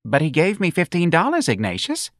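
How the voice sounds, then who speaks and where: A man's voice: fairly high pitch, huge pitch swings, a little fast, a bit bright, no room sound, pitched above the performer's own voice, full character voice. A man voicing a plain-spoken older working-class mother in a colloquial, plaintive manner for an audiobook. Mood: plaintive, fussing.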